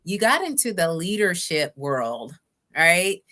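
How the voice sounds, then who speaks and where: A woman's voice: lowish voice, very animated, slow, pushed and bright. A woman hosting a talk show, interviewing in a chatty, conversational way and addressing viewers directly. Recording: live online stream.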